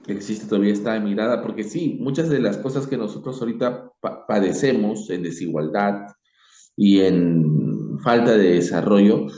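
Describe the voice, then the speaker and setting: A man with a Peruvian Spanish accent giving his opinion informally, reflective and halting, leaning emphatically on key words. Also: on the low side, dark tone. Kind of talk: informal spoken opinion on history. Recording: dull, echoey room recording.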